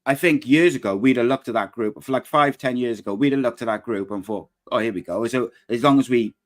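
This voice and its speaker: A relaxed man hosts a casual football podcast. His voice sits at an ordinary middle pitch. He often hesitates and lets his sentences fade into a low mumble. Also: fairly quick. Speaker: podcast host.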